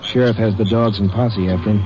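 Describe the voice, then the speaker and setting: A man's voice: lowish voice, fairly even intonation, brisk, a little mellow, slight background noise, close to an everyday voice. An actor playing a plainspoken local lawman briefing a ranger, in a 1940s radio drama. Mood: matter-of-fact. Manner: plain, folksy and matter-of-fact. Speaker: patrolman. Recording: dull old radio broadcast with crowd murmur.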